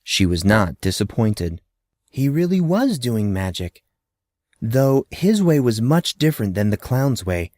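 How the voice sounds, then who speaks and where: Expressive male storyteller, very animated pitch. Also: a little slow, dry recording, studio quality. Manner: storybook-like, dramatizing a child's thoughts. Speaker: narrator. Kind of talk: expressive scripted fiction narration.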